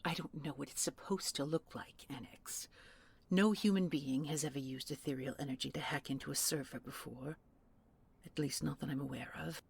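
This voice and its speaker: A performer playing a tired, irritable deposed spy commandant in an audio drama, groggy and husky, speaking haltingly with a sardonic edge. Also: mid-range voice, fairly even intonation. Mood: irritated, weary. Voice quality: husky, raspy. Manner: slow, halting, sighing, sardonic. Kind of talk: audio drama roleplay, one side of a conversation.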